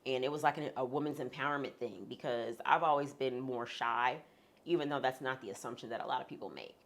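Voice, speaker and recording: A woman speaking frankly as a guest in a sit-down interview, talking with humorous asides in a deep, husky voice. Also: brisk. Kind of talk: candid sit-down conversation.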